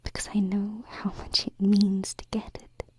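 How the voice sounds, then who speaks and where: A woman playing a gentle, affectionate alien princess in an ASMR roleplay, speaking softly and reassuringly into a close binaural microphone. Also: medium pitch, monotone. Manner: soft, slow, reassuring, pausing. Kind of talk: ASMR roleplay, intimate whispering.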